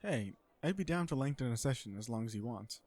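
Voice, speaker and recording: Casting: male actor as a sardonic, flirty corporate supervillain for romantic comedy audio roleplay, mid-pitched, conversational and sure of himself. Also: animated, a little fast, a little mellow, natural voice. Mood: flirty, relaxed. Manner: conversational venting, sardonic and self-assured. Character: cocky, flirtatious businessman supervillain on a blind date.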